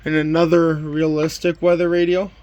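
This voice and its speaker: Man, slightly higher-pitched voice, plain and matter-of-fact, informal hobbyist demo. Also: little pitch movement, normal speed, a little mellow, lo-fi sound. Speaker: collector presenting a weather radio collection. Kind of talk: casual show-and-tell demonstration.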